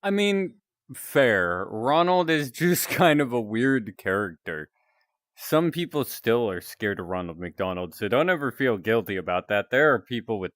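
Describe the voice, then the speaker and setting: A man with a deep, announcer-like voice, chatting casually with viewers while he plays a game. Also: no room sound, clean studio sound. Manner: relaxed, rambling, chatting to viewers. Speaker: streamer.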